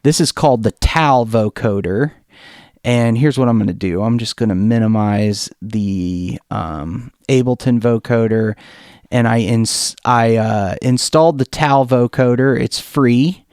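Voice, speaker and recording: A man giving an informal, enthusiastic software tutorial, talking off the cuff and thinking aloud as he demonstrates. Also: mid-range voice, measured pace, clear, resonant tone, no echo, studio quality. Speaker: music production tutorial presenter.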